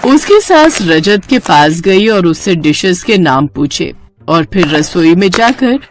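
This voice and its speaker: A woman's voice: fairly low pitch, sing-song, slow. A woman narrating a scripted cartoon story as the storyteller. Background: faint music.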